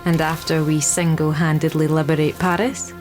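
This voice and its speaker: A woman's voice: low voice, flat delivery, moderate pace, lightly acted. A woman playing a wry, teasing resistance ally in a war game, with bantering delivery. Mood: scornful, wry. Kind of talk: cinematic war game dialogue. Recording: music under the voice.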